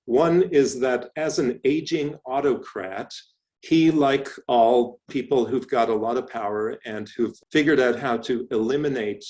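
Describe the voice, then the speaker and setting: A middle-aged man from Canada giving a lecture presentation, speaking in a thoughtful, measured way with frequent restarts and fillers. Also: medium pitch, a little mellow, low-quality recording. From Canada (Toronto). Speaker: professor giving a talk.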